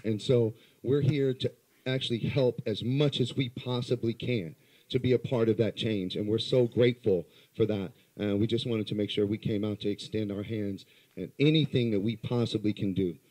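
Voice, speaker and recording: A man in his thirties from Los Angeles with a low voice, giving an earnest, halting speech into a handheld mic at a small indoor political meeting. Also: a little mellow, breathy edge, rough recording. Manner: earnest, halting with many fillers. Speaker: community organizer. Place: small crowded indoor campaign office. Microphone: handheld microphone.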